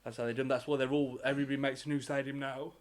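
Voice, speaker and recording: A young man from England chats quickly on a football podcast. He swears freely and teases his co-host. His pitch sits in an ordinary middle range. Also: level tone. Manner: fast, sweary and teasing. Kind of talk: casual chat.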